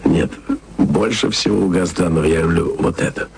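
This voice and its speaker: A deep, low voice of a bookish, jealous man in a radio play, speaking to his lover in a quiet, confiding way. The tone is wry and musing, with a faint hiss in the background. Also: normal speed, vocal fry. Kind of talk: intimate radio drama monologue.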